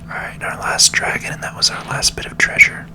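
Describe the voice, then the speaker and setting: A person whispering ASMR commentary while playing a video game, in a gentle, unhurried manner. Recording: music under the voice. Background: game music.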